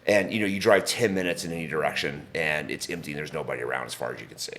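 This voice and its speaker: Brief: very low-voiced male guest, grew up in England, easy candid talker. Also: racing, a bit bright. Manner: thoughtful, candid, with frequent fillers. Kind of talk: casual interview conversation. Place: home in Bozeman.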